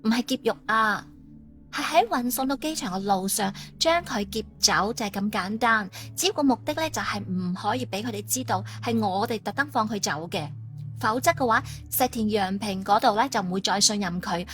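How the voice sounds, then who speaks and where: A woman's voice: mid-range voice, animated, normal speed, fairly bright tone, faint music behind, lightly acted. A woman playing a stern, determined female agent in audiobook dialogue. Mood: insistent.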